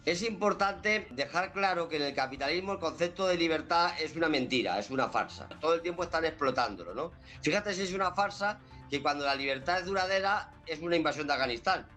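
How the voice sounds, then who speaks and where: A man's voice: on the high side, pushed and bright. A man making an emphatic, argumentative political commentary.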